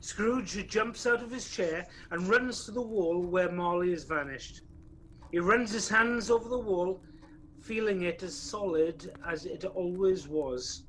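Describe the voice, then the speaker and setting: A man's voice: high-pitched, unhurried, fairly bright tone, a little hiss or hum, poor audio. A man acting as narrator of a radio play, telling a ghost tale in a dramatic, wry storytelling manner.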